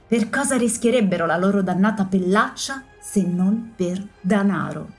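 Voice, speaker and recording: Brief: a woman playing a blustering, boastful gentleman, loud and raised in pitch, faint music under. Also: lively intonation, normal speed, lightly acted. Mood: indignant. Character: blustering hot-tempered gentleman, a man. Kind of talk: audiobook character voice.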